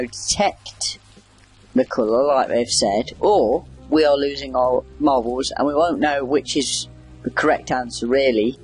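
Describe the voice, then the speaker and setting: A man's voice: mid-range voice, husky, poor audio. A man giving casual, rambling video game commentary, wry and chatty as he reacts aloud. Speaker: gamer doing a video game playthrough.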